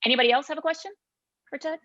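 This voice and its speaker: A woman's voice: sits high, rapid-fire, fairly bright tone, dry recording. A woman moderating an online academic event, giving informal instructions over a video call. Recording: dull, dry video-call audio.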